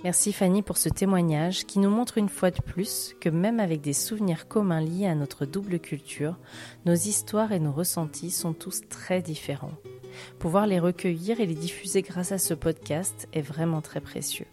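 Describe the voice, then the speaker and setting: A woman host reads her scripted closing words in a calm, measured way. Her voice is low and mellow, and faint guitar music plays underneath. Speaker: podcast host.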